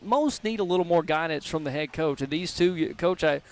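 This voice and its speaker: A man hosts a live sports radio broadcast, asking long, friendly, rambling questions. His voice sits on the high side for a man. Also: brisk. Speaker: radio show host. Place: restaurant broadcast.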